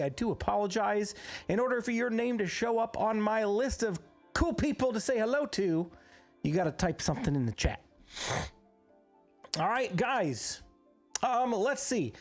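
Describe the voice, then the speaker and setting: Streamer, hoarse after being sick, very lively swooping delivery, chatty and upbeat, soft music underneath. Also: mid-range voice, moderate pace, pauses often. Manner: upbeat, greets viewers by name one after another. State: hoarse, recovering from illness. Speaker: live game streamer. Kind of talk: casual live-stream chat with viewers.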